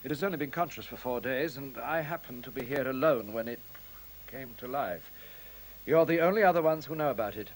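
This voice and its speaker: Actor as a restrained, sober computer scientist. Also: male voice, medium pitch, brisk, lightly acted, low-quality recording. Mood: defensive. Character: sober computer scientist mourning his creation. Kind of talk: 1970s radio drama acting. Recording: hissy 1970s radio recording.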